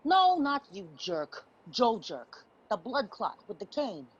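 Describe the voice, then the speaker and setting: An actress playing a tough, defensive homeless friend with an American accent, husky and blunt, in a stage play reading with slightly muffled sound. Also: female voice, fairly high pitch, wildly swooping pitch, slow, exaggerated voice. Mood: scornful. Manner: blunt, punchy street talk.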